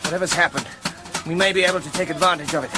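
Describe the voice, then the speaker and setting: An actor playing a brisk, decisive medieval military commander in a radio drama, giving clipped orders. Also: male voice, a little high, over music, close to an everyday voice. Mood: brisk, commanding.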